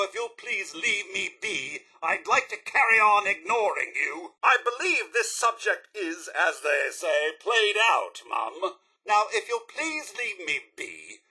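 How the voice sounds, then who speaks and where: British robot butler, video game character, very animated, slightly husky edge, prim, polite, fussy, devoted. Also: male voice, sits high, full character voice. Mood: huffy. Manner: formal, polite, fussy, theatrical servant.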